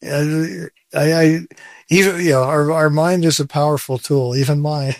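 A man's voice: a little high, fairly even intonation, normal speed, no echo. Candid man in his sixties, laughing easily. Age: 60s. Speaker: guest. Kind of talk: casual interview chat.

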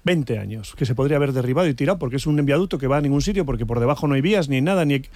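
A man's voice: medium pitch, animated, racing, darkish tone, dry recording. A man debating on a panel in an indignant, emphatic way, repeating words for emphasis. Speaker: panelist in a political discussion. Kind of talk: casual, opinionated debate.

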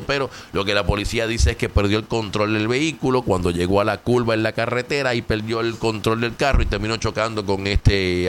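A man's voice: lowish voice, slight background noise. A Puerto Rican man hosting a talk show, reading local news in a chatty way, with many fillers and English words mixed in. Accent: Puerto Rican Spanish. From Puerto Rico.

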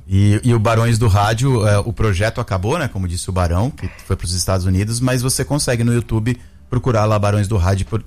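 A man's voice: on the low side, a little mellow. A man with a Brazilian Portuguese accent anchoring live radio news in a casual, slightly hesitant manner.

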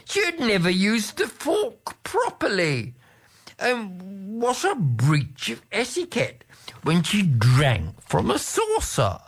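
A storyteller voicing a fussy, pompous nobleman who is obsessed with etiquette and speaks in a high, affected way. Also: wildly swooping pitch, slow pace, husky, full character voice.

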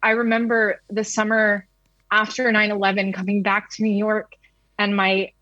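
A woman's voice: medium pitch, level tone, moderate pace, bright, forceful tone, dry recording. A woman news reporter in her thirties from the United States speaks candidly and conversationally. The audio is slightly dull and dry. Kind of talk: interview recollection.

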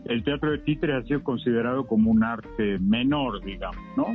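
Older man, measured, on a tinny phone line. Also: mid-range voice, faint music behind. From Argentina. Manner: reflective, measured, with many hesitations. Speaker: guest, puppeteer. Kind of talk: radio interview answers.

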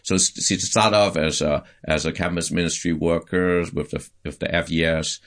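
Really deep voiced guy, pastor guest, lots of uhs and restarts. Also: steady tone, fairly bright tone. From Hong Kong. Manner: halting, many fillers and restarts. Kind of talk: conversational interview.

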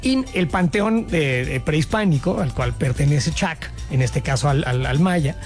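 A man's voice: on the high side, lively intonation, measured pace, faint music behind. A man co-hosting a radio show, joking and irreverent, cursing casually. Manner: joking, irreverent, swears freely. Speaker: radio host. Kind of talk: casual comedic radio banter.

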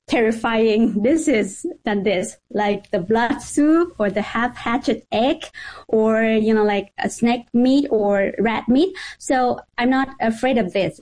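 A woman's voice: fairly high pitch, darkish tone, low-quality recording. A woman from Vietnam with a Vietnamese accent, a journalist speaking conversationally as a radio interview guest.

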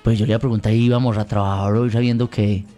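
A man with a Colombian accent telling a long joke on a radio show, in a drawn-out, playful storytelling manner. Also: fairly low pitch, level tone, mellow. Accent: Colombian Spanish. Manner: drawn-out storytelling, mock complaints. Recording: radio broadcast with faint music.

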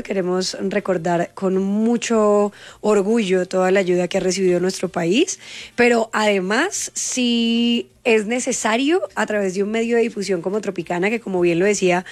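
A Colombian woman from Cali hosting a radio show, talking in a chatty, teasing way. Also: mid-range voice. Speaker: radio host. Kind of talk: casual radio chat.

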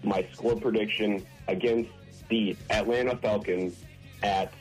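A man's voice: on the low side, steady tone, a little slow, darkish tone, faint music behind, poor audio. A man calling in to a sports radio show, rambling and stammering, repeating words as he gives his predictions. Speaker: caller. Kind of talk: call-in sports radio chat.

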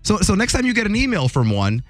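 A man hosting a morning radio show, telling entertainment news in a jokey, animated way. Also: fast, dry recording, soft background music. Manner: animated, jokey, voice jumps high for emphasis.

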